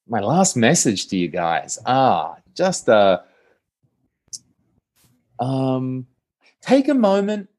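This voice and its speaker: A man with an Australian accent, a comedy producer talking as a podcast guest in a casual, jokey way. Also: mid-range voice. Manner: animated, jokey, laughs often. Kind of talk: casual interview chat.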